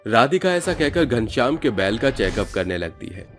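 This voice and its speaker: A man's voice: mid-range voice, sing-song, moderate pace, fairly bright tone, soft background music. A man narrating a scripted cartoon story as the storyteller.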